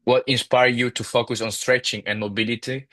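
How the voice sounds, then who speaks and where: A man's voice: mid-range voice, little pitch movement, fairly quick, sharp tone, no room sound. A young man from Italy with an Italian accent, whose first language is Italian, asking prepared interview questions carefully as a student. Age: young adult. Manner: reads questions carefully, with brief yeahs.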